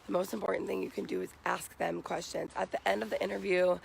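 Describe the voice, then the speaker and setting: A young American man with a raspy, morning-hoarse voice, giving casual advice to viewers as a vlogger. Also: sits high, level tone, fast talker, slight background noise. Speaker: vlogger giving job interview tips. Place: outdoors.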